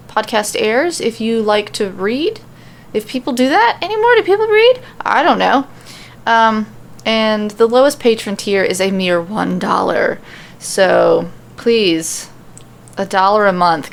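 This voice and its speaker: Female podcast host and story reader, creaky mid-pitched voice, informal, self-deprecating, faint noise on the recording. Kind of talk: casual podcast intro and fan fiction narration.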